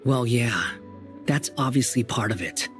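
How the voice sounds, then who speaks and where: A man's voice: mid-range voice, unhurried, lightly acted. Aloof young wanderer, voiced by a man, music behind. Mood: aloof, dry. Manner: cool, dry, curt. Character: aloof, sardonic young male wanderer posing as scholar. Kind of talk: video game voice acting.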